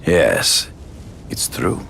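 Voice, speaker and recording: An actor playing a proud, cruel older hunter villain in a game cutscene, taunting slowly with a deep, gravelly voice. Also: male voice, a little hiss or hum. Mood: calm. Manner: taunting, slow and deliberate, honor-bound. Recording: game cutscene audio with loud score underneath.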